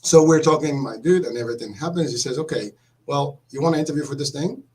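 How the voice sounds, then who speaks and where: Fast-talking man telling his story. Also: fairly high pitch. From Morocco. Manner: animated, repeats words, laughs often. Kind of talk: casual storytelling chat.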